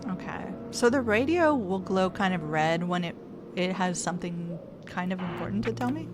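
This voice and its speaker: A woman's voice: fairly low pitch, expressive, normal speed, noisy background. A woman streamer playing a game, thinking aloud and reading its text to viewers in casual commentary. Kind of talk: let's play commentary. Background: game music.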